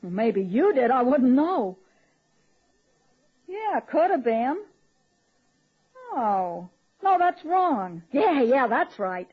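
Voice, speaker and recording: An actress playing a dim, deadpan, folksy female campaign secretary in a radio comedy. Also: sits high, extremely slow, long pauses, slightly rough, exaggerated voice. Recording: dull lo-fi old radio recording.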